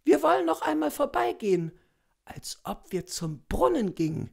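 A man voicing a nosy, excitable young village girl, gossipy, in a dramatised classic story reading. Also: very high voice, moderate pace, creaky, husky, pitched above the performer's own voice, heavily acted. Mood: shocked, pitying.

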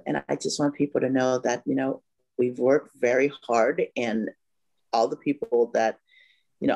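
A woman's voice: very deep voice, gravelly, warm tone, poor audio. A woman in her fifties, born in Vietnam, talking as an interview guest over a video call, with many "you know" fillers. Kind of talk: casual interview chat. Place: home room by a bright window.

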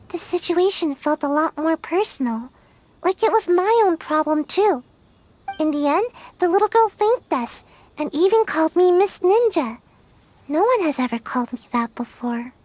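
A woman voicing a sleepy, lazy young girl ninja in a video game, talking in a drowsy, soft, unhurried way. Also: very high-pitched. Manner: drowsy, slow and soft-spoken.

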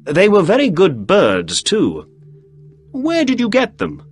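A man's voice: sits high, huge pitch swings, slow pace, breathy edge, soft background music, pitched above the performer's own voice, heavily acted. A man voicing a keen, polite male detective who asks probing questions.

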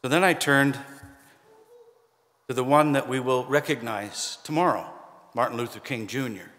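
A middle-aged male pastor delivers a sermon at a pulpit in a church sanctuary. He speaks in a conversational way with gentle humor and pauses for emphasis. His voice sits at a medium pitch and carries a long church reverb. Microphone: pulpit microphone, far camera.

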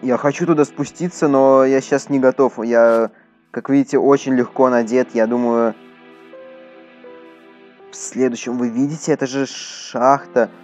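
A man's voice: medium pitch, fairly even intonation, a little slow, a little mellow, soft background music. Casual male gamer chatting over gameplay. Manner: chatty, talks to his horse and to viewers. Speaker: gaming YouTuber. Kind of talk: casual Minecraft let's play commentary.

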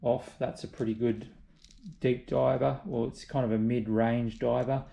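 A man, host of a fishing channel, narrating instructional tips in a steady, explanatory way. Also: medium pitch, measured pace, gravelly. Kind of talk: instructional voice-over about kayak fishing spots. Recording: roomy, echoey recording.

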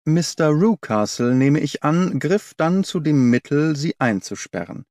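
Shrewd gentleman detective, acted by a male narrator, storybook role, courteous, precise reasoning, dry close sound. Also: on the high side, lively intonation, unhurried, dry recording. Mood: deductive, assured. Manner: formal, analytical, courteous.

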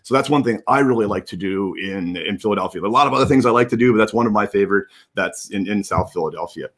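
Middle-aged man with a lowish voice. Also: fast talker, a bit bright. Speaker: producer, co-host. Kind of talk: casual livestream talk. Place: room at home, video call. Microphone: video call mic.

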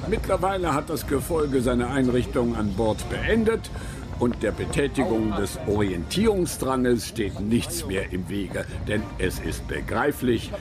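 An actor playing a courteous guide aboard an imperial yacht, giving formal scripted narration. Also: male voice, mid-range voice, lightly acted.

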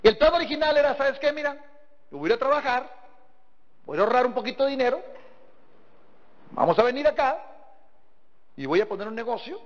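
A man's voice: very high-pitched, expressive, brisk, pauses often. A man with a northern Mexican accent gives a folksy motivational talk, telling stories and putting rhetorical questions to his listeners. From Sinaloa, northern Mexico. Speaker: motivational business seminar speaker. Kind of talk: motivational talk to a live audience. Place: large seminar hall before an audience. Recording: dull, echoey hall recording.

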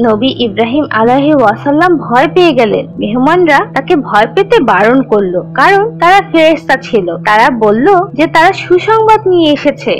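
Woman doing a kind cartoon teacher telling religious stories to kids, high voice, really lively intonation, instructive. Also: rough recording. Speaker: storyteller narrator teaching children. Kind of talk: scripted children's religious storytelling.

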